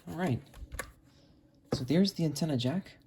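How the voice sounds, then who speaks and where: A hobbyist casually narrating an unboxing and test in a halting way, thinking aloud while working with their hands. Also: mid-range voice. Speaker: hobbyist video creator. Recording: noisy, with clicks and handling sounds.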